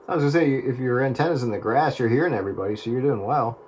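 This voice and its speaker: A man's voice: mid-range voice, racing. A man chatting casually over a two-way radio as an operator. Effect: two-way radio transmission. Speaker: amateur radio operator on air. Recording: faint radio signal with heavy static.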